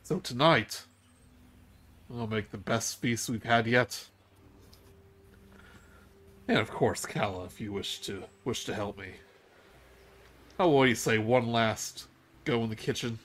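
A man's voice: medium pitch, slow, pauses often, fairly bright tone. A man voicing a friendly camp cook who talks in a hearty, rambling way. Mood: cheerful.

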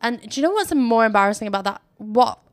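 A woman's voice: fairly high pitch, expressive, fast talker, dry recording. A young woman talks straight into her phone from the sofa, chatting loosely and laughing at herself. The sound is dry and close, with no room around her voice. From Philippines. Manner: chatty, self-mocking, laughs often. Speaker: podcast host. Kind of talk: casual solo podcast chat.

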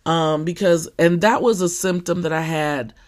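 A podcaster with a scratchy, somewhat deep voice, talking in a casual personal monologue full of fillers and restarts. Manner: halting, with many fillers and restarts.

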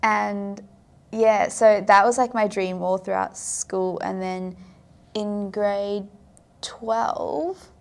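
Chatty young woman, gravelly edges. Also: medium pitch, steady tone, very slow. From South Africa. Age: young adult. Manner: chatty, filler-heavy, frequent "like". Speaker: podcast guest. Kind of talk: casual interview chat.

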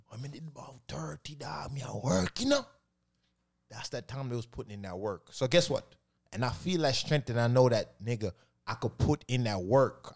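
A man's voice: medium pitch, a little mellow. A man in his thirties chatting casually as a podcast co-host, blunt and profane, telling stories with heavy emphasis. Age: 30s. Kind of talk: casual chat.

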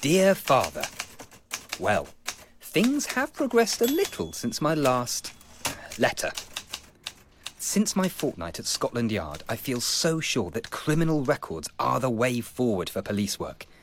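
Keen young british copper reading out his letter, precise, lively intonation. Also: medium pitch, measured pace, background noise. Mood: earnest, rueful. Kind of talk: narrated letter in radio comedy-drama.

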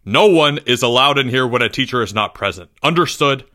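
A man's voice: on the low side, expressive, measured pace, bright, forceful tone, no echo, studio quality. A man voicing a stern, suspicious schoolteacher in a story, clipped and authoritative. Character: stern, suspicious male schoolteacher, easily exasperated.

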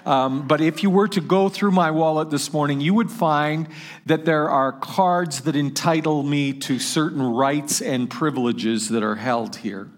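A man's voice: on the high side, measured pace. A man pastor preaching a sermon in a conversational way, often restarting his phrases.

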